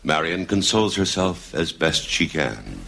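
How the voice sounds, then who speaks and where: A man's voice: deep voice, big pitch swings, a little slow, creaky, very noisy, heavily acted. A man playing a solemn, melodramatic announcer in a soap opera parody, on an old TV clip. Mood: mock solemn. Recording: old TV clip, loud music, audience.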